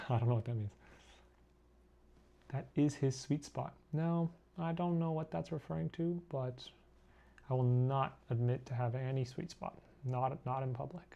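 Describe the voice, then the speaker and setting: Young man, from Korea, casual live-stream chat, self-deprecating, laughs often, middle pitch. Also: vocal fry, mellow, muffled recording. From born in Korea, raised abroad.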